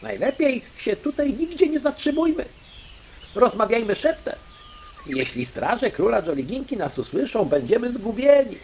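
A man's voice: extremely high pitch, big pitch swings, moderate pace, a little mellow, a little hiss or hum, pitched above the performer's own voice, heavily acted. A man voicing a clever, cautious female parrot in a storybook, speaking in an urgent warning manner. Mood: anxious warning.

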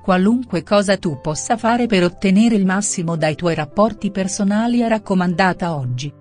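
A woman's voice: medium pitch, normal speed, a little mellow. A woman reading a scripted daily horoscope as narration. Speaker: horoscope reader. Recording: music under the voice. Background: background music.

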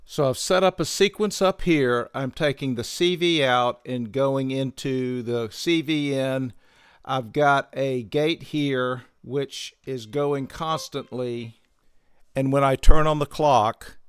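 A man hosting a synthesizer tutorial, explaining gear in a measured, methodical way on a close microphone. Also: medium pitch, clear, resonant tone, no echo. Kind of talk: explanatory product tutorial narration.

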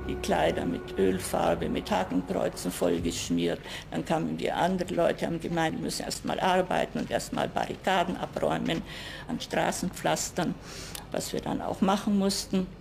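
An older woman giving a halting eyewitness recollection in an interview. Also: fairly low pitch, steady tone, vocal fry, darkish tone, soft background music.

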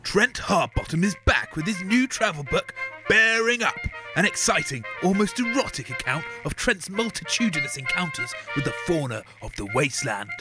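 An actor playing an advertisement announcer, reading scripted promotional copy for a mock radio commercial. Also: male voice, high voice, sing-song, normal speed, over music, low-quality recording. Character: male advert announcer plugging a travel book.

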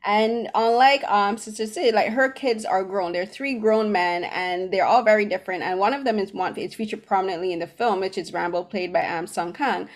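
A woman's voice: mid-range voice, moderate pace, few pauses. A middle-aged woman hosting a film interview in a conversational style over a video call. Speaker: interview host. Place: small room at home. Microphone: computer mic on a video call.

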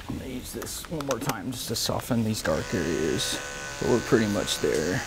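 Low-voiced American barber over buzzing clippers. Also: male voice, animated, normal speed. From United States. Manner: easygoing, explanatory, thinking aloud. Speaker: barber giving a haircut tutorial. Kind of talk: casual instructional talk while working. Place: indoor brick-walled barber shop. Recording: clear electric clipper buzz and hum. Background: electric clippers buzzing, hum.

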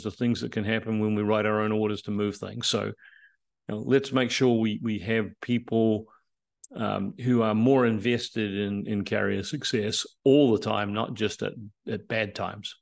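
Older guy on a video call, gravelly low voice, lots of um and restarts. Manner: measured, explanatory, many restarts and fillers. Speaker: guest, tech company CEO. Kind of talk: casual interview chat. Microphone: remote video call.

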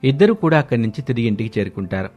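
Man, story narrator, scripted delivery, medium pitch, music underneath. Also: sing-song, very fast, darkish tone, lo-fi sound.

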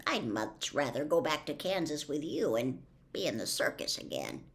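A woman's voice: sits low, big pitch swings, normal speed, gravelly, pitched below the performer's own voice, exaggerated voice. A woman in her sixties voicing a humble male wizard who admits to being a humbug, speaking in a mild, confiding way. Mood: earnest. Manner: mild, confiding, gently pleasant.

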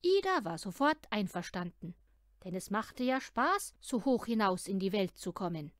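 A woman's voice: medium pitch, very animated, normal speed, no room sound, studio quality. A woman narrating a children's story from a script, expressively and as if speaking to a young listener. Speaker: audiobook reader.

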